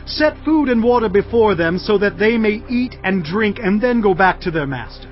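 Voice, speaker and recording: A stern old prophet speaks in solemn, commanding pronouncements, as a man acts him out in a dramatized Bible drama. His voice sits a little high. The sound is dull and lo-fi, with music playing underneath. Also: lively intonation, normal speed. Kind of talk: dramatized audio Bible acting.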